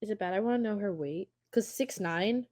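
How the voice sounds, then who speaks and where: A woman's voice: mid-range voice, brisk, a little mellow. A woman co-hosting a casual sports podcast, chatty and full of questions. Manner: chatty, curious, asks many questions. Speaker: podcast co-host.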